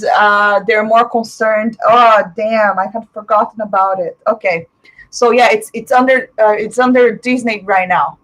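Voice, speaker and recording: A woman's voice: on the high side, fairly bright tone, rough recording. A woman from Brazil whose first language is Portuguese, hosting a casual live stream, chatting and answering viewer comments with lots of fillers. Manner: chatty, opinionated, frequent fillers.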